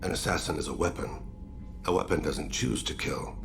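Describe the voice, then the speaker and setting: An actor playing a courteous, philosophical alien assassin who is dying, with a gravelly voice and a formal, measured, solemn manner in a video game. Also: male voice, a bass voice, over music. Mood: calm, courteous. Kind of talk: video game cutscene acting.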